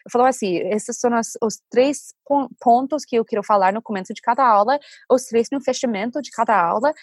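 Woman, teacher guest on a podcast, chatty n lively, dry close sound. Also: a little high, a little fast, husky, dry recording. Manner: animated, chatty, searching for words. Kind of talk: casual podcast chat.

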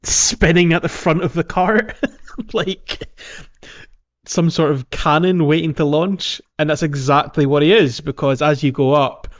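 A man's voice: a little high, measured pace, dull audio. A man hosting a podcast, chatting casually about gaming in a jokey way, often chuckling as he talks. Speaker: podcast host.

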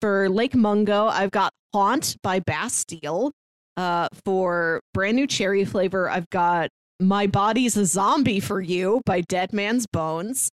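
An American woman speaks as a podcast co-host, chatting informally and with enthusiasm. Her pitch sits in the middle range, and the sound is dry, with no room echo. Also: slow pace. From St. Louis, United States. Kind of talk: casual pop-culture recap chat.

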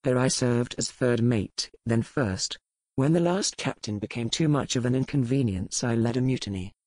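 An actor playing a cold pirate lord in a romance audiobook, speaking in a controlled, sardonic, matter-of-fact way. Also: male voice, mid-range voice, normal speed, a little mellow, dry recording, close to an everyday voice, poor audio. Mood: detached. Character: cold, commanding pirate lord, a man with a buried past. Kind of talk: romance audiobook character dialogue.

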